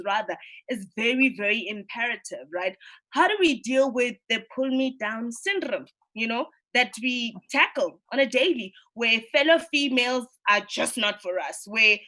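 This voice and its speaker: Thirties woman hosting a video roundtable, high voice, earnest and animated, lots of tag questions. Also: moderate pace. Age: 30s. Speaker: roundtable host. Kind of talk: virtual roundtable discussion. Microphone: video call.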